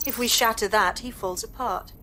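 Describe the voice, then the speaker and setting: An actress playing a calm, determined female resistance leader with a steady manner. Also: fairly high pitch, normal speed, a little hiss or hum. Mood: resolute.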